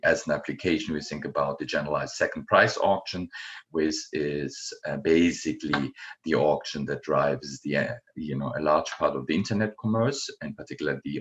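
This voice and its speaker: Very deep-voiced German-accented male lecturer. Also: fairly bright tone, dull audio. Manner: unhurried, many ums and uhs. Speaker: guest lecturer. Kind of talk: university lecture.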